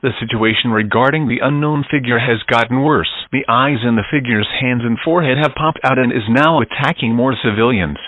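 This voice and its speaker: A man's voice: medium pitch, no room sound. A man delivering a scripted emergency broadcast update in a formal, impersonal way. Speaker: emergency alert announcer. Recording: tinny phone-like radio sound, very dry.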